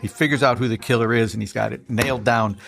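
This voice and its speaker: A man from Minnesota, an author guest, chatting in a relaxed interview and chuckling through his stories. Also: medium pitch, fast talker, creaky. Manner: relaxed, anecdotal, chuckles while telling stories. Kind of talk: casual interview chat.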